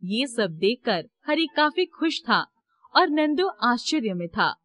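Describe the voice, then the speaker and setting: High-voiced woman storyteller. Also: sing-song, a little slow, a bit bright. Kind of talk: scripted narration of a children's moral story.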